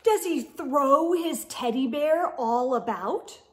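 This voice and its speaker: A woman, about forties, hosting storytime and reading a picture book to young children with expressive, drawn-out emphasis. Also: high voice. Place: indoors, small room lined with bookshelves.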